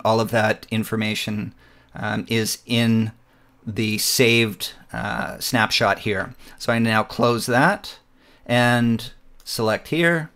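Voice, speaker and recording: A man narrating a screen-recorded photo software tutorial, walking through each step in a relaxed way with frequent ums and uhs. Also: medium pitch.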